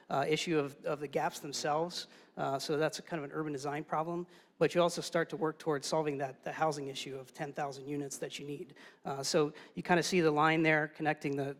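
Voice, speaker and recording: Flat-voiced man presenting a plan. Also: a little high. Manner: steady, lots of "uh" and "kind of". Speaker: urban design presenter. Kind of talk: presentation to an audience. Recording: reverberant hall.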